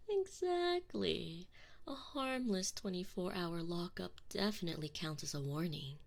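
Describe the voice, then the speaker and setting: A woman's voice: fairly low pitch, heavily acted. A woman voicing a sadistic, smug female alien enforcer in an ASMR roleplay, taunting and condescending, sometimes dropping to a whisper. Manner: slow, taunting, condescending, slipping into breathy whispers. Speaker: audio roleplay performer. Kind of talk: ASMR roleplay acting, addressing the listener.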